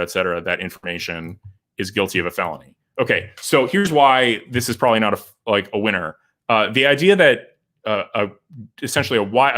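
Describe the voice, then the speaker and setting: A man hosting a livestream, giving legal commentary and answering viewer comments, often halting and restarting as he thinks aloud. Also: fairly low pitch, sharp tone. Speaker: lawyer and show host.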